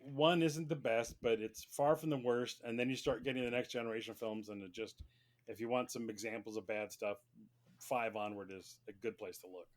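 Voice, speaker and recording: A man's voice: mid-range voice, fairly even intonation, fairly quick. A man on a podcast panel, chatting casually in a joking, argumentative manner. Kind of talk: casual chat.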